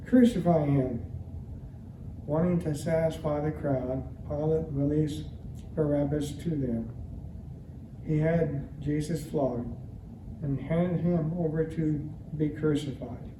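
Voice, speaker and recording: Slow-reading man in an echoey church. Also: on the high side. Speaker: church member reading scripture. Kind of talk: solemn scripture reading at a church service. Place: large indoor church, distant mic. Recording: echoey church from far off, clear noise.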